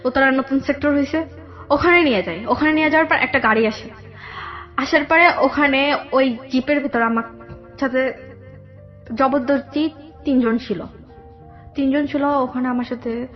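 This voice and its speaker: A young woman with a Bangladeshi accent telling her personal story in a radio interview, halting and repeating herself. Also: sits high. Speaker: guest telling own life story. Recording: dull lo-fi radio audio, background music.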